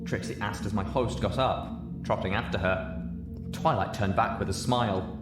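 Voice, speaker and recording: A man's voice: fairly low pitch, fairly even intonation, moderate pace, loud music behind the voice. A man reading a story aloud as a scripted narrator. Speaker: narrator, audiobook reader. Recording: echoey room, audible background noise.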